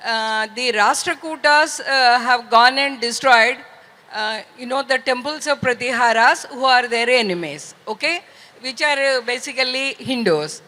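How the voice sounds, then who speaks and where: Woman, Indian accent, fairly high bright voice, halting delivery, audience question. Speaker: audience member asking a question. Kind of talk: live audience question, opinion. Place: large festival hall. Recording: reverberant hall sound system.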